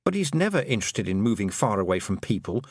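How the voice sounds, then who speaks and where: A man reading aloud, voicing an earnest, sharp-minded young girl and raising his voice for her. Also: lowish voice, very animated, fast, dry recording, clean studio sound.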